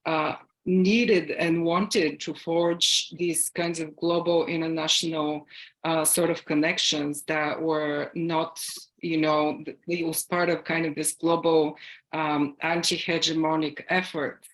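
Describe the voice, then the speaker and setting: A woman from Bulgaria answering an audience question on a video call, speaking haltingly with many fillers and restarts. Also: sits low, little pitch movement, poor audio. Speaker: guest speaker.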